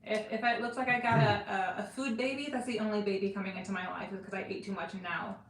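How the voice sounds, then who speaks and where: A woman's voice: medium pitch, steady tone, fast, echoey room, slight background noise, low-quality recording. A woman in her forties co-hosting a live stream, chatting casually and joking about herself. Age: 40s. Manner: chatty, self-deprecating. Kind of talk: casual chat.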